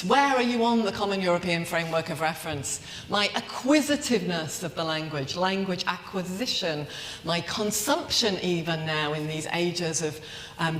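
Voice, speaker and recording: A woman giving an academic conference lecture, measured and emphatic, building lists of repeated phrases in a large hall. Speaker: academic plenary speaker. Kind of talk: conference lecture, rhetorical and reflective. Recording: echoey lecture hall, faint rumble.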